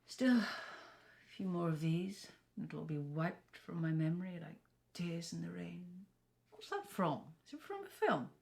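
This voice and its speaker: An actress playing a haughty, gossipy middle-aged British aunt with a theatrical, scornful manner in an interactive film. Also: female voice, on the low side, lively intonation, normal speed, creaky, darkish tone. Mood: snide. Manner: theatrical, catty, gleefully scornful.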